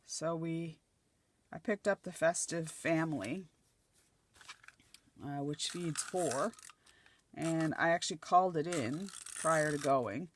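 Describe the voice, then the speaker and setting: A middle-aged woman from Nova Scotia chats as she crafts, thinking aloud as she places each piece. Her voice is slightly croaky and dry. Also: sits low, slow pace, pauses often, slight background noise. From Nova Scotia, Canada. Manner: chatty, thinking aloud while gluing collage pieces. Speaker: craft channel host. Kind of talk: casual crafting chat.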